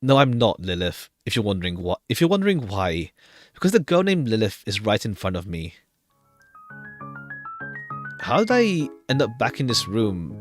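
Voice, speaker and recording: Male game streamer, animated range, narrates on-screen text and chats between passages, music underneath. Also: lowish voice, moderate pace, pauses often, dry recording. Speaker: streamer playing a visual novel. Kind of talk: reading game text aloud with casual commentary.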